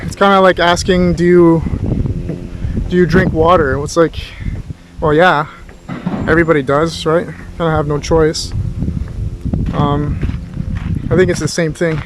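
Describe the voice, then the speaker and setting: A man giving a rambling, reflective philosophical monologue like a tutor talking to himself, halting as he searches for words. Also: a little high, little pitch movement, noisy background. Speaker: philosophy tutor and vlog host. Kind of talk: rambling, reflective monologue recorded while walking.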